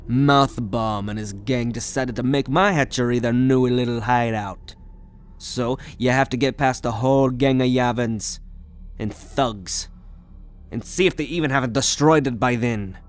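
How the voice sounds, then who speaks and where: A man voicing a shady green alien collector, gruff, bitter and quick to take offence, in an ASMR roleplay drama. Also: mid-range voice, lightly acted. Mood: grudging. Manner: gruff, indignant, haggling.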